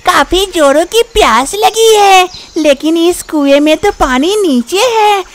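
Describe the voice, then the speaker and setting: A woman's voice: very high voice, lively intonation, slow, slight background noise, voice pushed up, heavily acted. A woman voicing a cunning, scheming female fox in a children's cartoon story, with theatrical delivery. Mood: worried.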